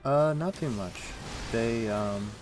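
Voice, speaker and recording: A man's voice: mid-range voice, slow. A man chatting casually as he streams a game, rambling and thinking aloud. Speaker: livestream host. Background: music.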